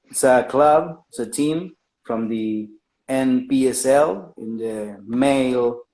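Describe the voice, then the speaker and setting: A man's voice: mid-range voice, a little mellow. Argentine man hosting in a roomy echo. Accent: Argentine Spanish. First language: Spanish. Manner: halting, searching for English words. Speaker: interviewer. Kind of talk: casual live interview chat.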